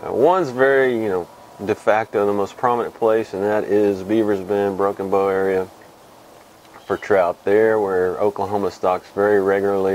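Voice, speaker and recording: A man in his fifties from Texas hosts a laid-back fly fishing report, talking slowly with frequent pauses. His voice sits low. Faint outdoor ambience hums behind his lapel mic. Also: slight background noise, poor audio. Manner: relaxed and rambling, many uh and um pauses. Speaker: fly fishing show host. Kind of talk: casual weekly fishing report to camera. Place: outdoors under a shed with corrugated metal wall.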